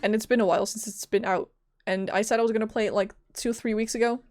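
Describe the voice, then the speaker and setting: A woman's voice: fairly high pitch, fairly even intonation, fast talker, darkish tone. A woman streaming a video game with casual, blunt, joking commentary. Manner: informal, blunt, reactive, joking.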